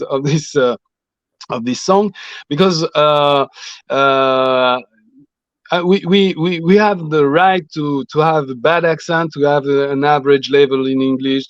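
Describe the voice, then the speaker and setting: Slow, halting man with a French accent. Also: on the high side, dry recording. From French Guiana. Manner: halting, many fillers and restarts. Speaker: guest musician. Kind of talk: casual interview chat. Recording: dull lo-fi sound.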